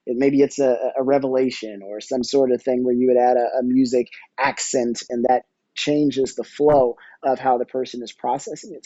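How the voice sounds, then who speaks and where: A man speaking as a podcast guest, explaining casually in a halting, hesitant way. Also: medium pitch, mellow, dry recording, highs cut off. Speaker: podcast guest, audio drama producer and musician.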